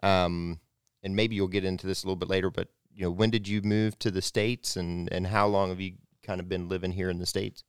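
Young male host, 20s, lowish smooth voice, dry close mic, loose and chatty. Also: little pitch movement, brisk, no echo. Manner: rambling, restarts, friendly. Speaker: podcast host. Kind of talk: casual podcast hosting.

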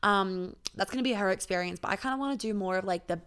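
A woman's voice: mid-range voice, quick pace, a bit bright, clean tone. A young woman hosting a casual solo podcast as a coach, candid and chatty, swearing freely and filling her talk with "like". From born in Australia, raised in New York, Sydney and Melbourne. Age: young adult. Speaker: podcast host and intimacy coach.

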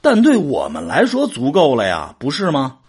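An actor playing a boastful, defensive man bickering with a friend, husky and colloquial, in a sci-fi audio drama. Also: mid-range voice, wildly swooping pitch, normal speed, a little mellow. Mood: exasperated, defensive. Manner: colloquial, grumbling, exasperated.

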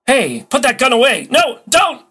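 A very high, panicky heroine of an old radio melodrama, played by a man. She is alarmed and over-descriptive, spelling out every danger as she pleads. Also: huge pitch swings, moderate pace, sharp tone, husky, full character voice. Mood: alarmed pleading.

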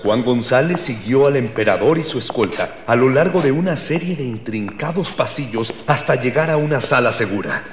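A man's voice: mid-range voice, animated, normal speed, few pauses, lightly acted. A man with a Mexican accent playing a pompous, grandiloquent narrator of a mock-heroic radio adventure serial. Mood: pompous, measured. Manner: pompous, grandiloquent, archaic phrasing. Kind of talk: florid old-fashioned radio serial narration. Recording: roomy reverberant sound with crowd behind.

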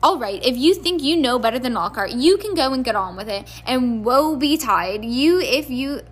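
A woman reader acts out a middle-aged witch mother from a storybook. The voice is fairly high, with an emphatic, snappy delivery. This is a bossy, fussing parent who scolds and dotes in equal measure. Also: animated, normal speed, fairly bright tone. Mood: scolding.

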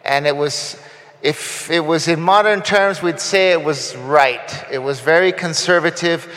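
A man's voice: fairly high pitch, measured pace, a bit bright, long reverb tail. A man from Costa Rica giving a conversational sermon, with frequent fillers and restarts. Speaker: guest preacher. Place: church sanctuary.

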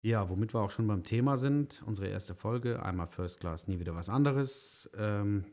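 A man's voice: on the low side, a little mellow. A man in his thirties hosting a casual podcast, asking questions and slipping in teasing jokes. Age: 30s. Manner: chatty, teasing with quick jokes. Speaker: podcast host.

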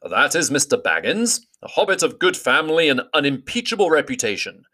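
A man's voice: a little high, very animated, fairly quick, sharp tone, pitched above the performer's own voice, exaggerated voice. A man voicing a courteous old wizard.

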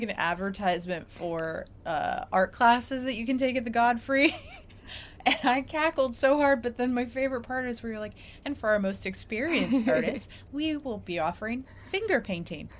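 A woman's voice: on the high side, normal speed. A woman, chatting casually and animatedly as a podcast co-host, with a giggly manner. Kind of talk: casual chat.

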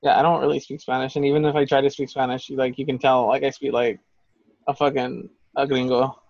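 A man's voice: medium pitch, flat delivery, quick pace, vocal fry, darkish tone, no echo, highs cut off. A man co-hosting a podcast in casual chat, talking in a heated, profane, rambling way. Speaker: podcast co-host.

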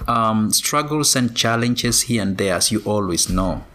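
A man from Kenya, a former professional runner, answering interview questions in a measured way with frequent pauses. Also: on the low side, expressive, a bit bright. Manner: measured, many pauses and ums.

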